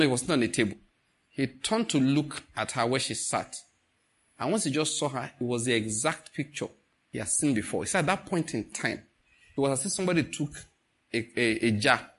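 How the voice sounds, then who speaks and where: A man's voice: medium pitch, slightly rough. A man with a Nigerian accent preaching informally, telling stories, acting out what people say and slipping into Pidgin. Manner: animated storytelling, acts out quoted speech, slips into Pidgin. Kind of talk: informal sermon with stories and jokes.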